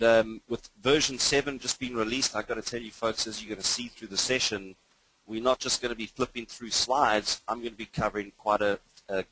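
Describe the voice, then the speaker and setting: A man's voice: on the low side, fairly bright tone, no room sound, poor audio. A man with a mixed international English accent, part British and part South African, presenting a live webinar as a trainer in an informal, enthusiastic, hesitant manner. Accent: mixed international English, hints of British and South African. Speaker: cybersecurity trainer.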